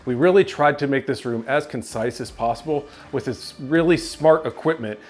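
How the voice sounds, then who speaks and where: A man's voice: medium pitch, moderate pace, a little mellow. Upbeat man giving a home tour. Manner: upbeat, gestures with his hands while walking and talking to camera. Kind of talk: casual home tour walkthrough. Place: home basement rooms, indoors. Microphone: clip-on lapel mic.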